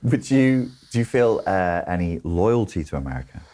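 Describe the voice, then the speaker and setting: Deep-voiced male host, probing and wry. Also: very animated, normal speed, mellow, slight background noise. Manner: probing questions, wry jokes. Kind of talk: casual conversation.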